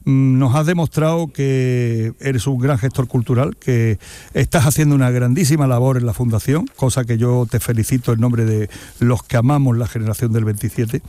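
A man's voice: medium pitch, steady tone, normal speed, warm tone, dry recording. A man hosting a radio interview, asking conversational questions and praising his guest. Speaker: radio host.

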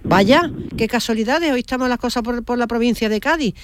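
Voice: mid-range voice, fairly quick, noisy background. A man hosting a live radio talk show, chatting casually and reacting briefly to others. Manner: chatty, interjects with short reactions. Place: radio studio.

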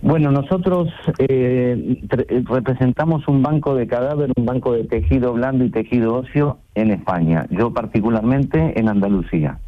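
Phone-in guest: male, middle-aged, thin telephone sound, conversational, halting and repetitive delivery. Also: mid-range voice. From Argentina. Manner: halting, repeats words and phrases. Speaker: radio call-in guest, sales representative. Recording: tinny phone line into radio show.

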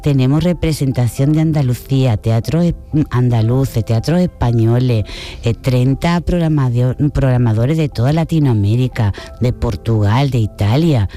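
A woman with an Argentine-tinged Spanish accent speaks in a mellow, relaxed voice. She is chatty and enthusiastic, like a festival director being interviewed on the radio. Music plays faintly behind her. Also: very deep voice, normal speed. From Cádiz province, Spain.

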